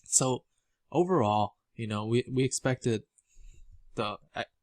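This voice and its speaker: A man giving informal financial commentary as a video host, halting and often repeating words as he explains. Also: medium pitch, darkish tone, no room sound. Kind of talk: informal financial commentary over documents on screen.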